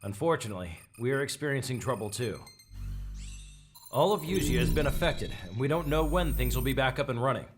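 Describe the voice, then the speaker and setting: A man's voice: mid-range voice, lively intonation, brisk, over music, natural voice. A man playing a composed, formal military officer delivering a businesslike mission briefing in a video game.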